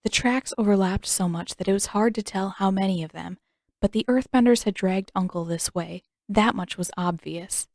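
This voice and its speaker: A woman's voice: medium pitch, normal speed, a little mellow, no echo, studio quality. A woman reading a story as scripted audiobook narration, in the manner of an amateur narrator.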